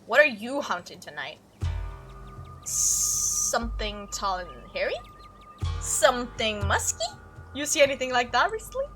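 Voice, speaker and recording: A woman's voice: fairly high pitch, sing-song, unhurried, long pauses, music in the background, voice pushed down. A woman voicing an eager, teasing young female amateur monster hunter in a visual novel, with a casual, coaxing manner.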